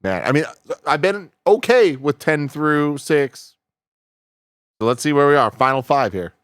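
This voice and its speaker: A man's voice: fairly high pitch, big pitch swings, a little slow, fairly bright tone, smooth, dry recording, studio quality. A man co-hosting a casual panel chat, giving opinions in a conversational, slangy way. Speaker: co-host on a video game talk show.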